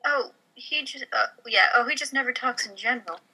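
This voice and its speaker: Very bright-voiced woman at a table read. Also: fairly high pitch, fairly quick, breathy edge, low-quality recording. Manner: informal, self-deprecating. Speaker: podcast cast member reading a script. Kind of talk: casual comedy table read.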